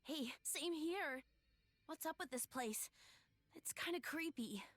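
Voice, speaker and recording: Feisty young heroine, very high, bright, stubborn, pouty, emphatic, video game. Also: female voice, lively intonation, slow, dry recording, exaggerated voice. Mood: casual.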